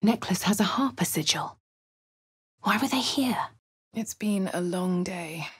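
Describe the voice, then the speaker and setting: A woman's voice: mid-range voice, expressive, measured pace, no room sound. An actress voicing a posh female adventurer in a fantasy game, narrating and remarking in a refined, dry manner. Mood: dry, composed. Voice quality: crisp upper-class English diction. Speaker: player character. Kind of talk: game voice lines.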